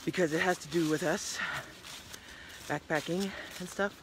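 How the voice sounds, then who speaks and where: A middle-aged woman talking casually to her phone while walking a wooded trail, rambling with many restarts and often trailing off into a quiet murmur. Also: lowish voice, steady tone, moderate pace, background noise. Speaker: hiking vlogger. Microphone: phone held at arm's length.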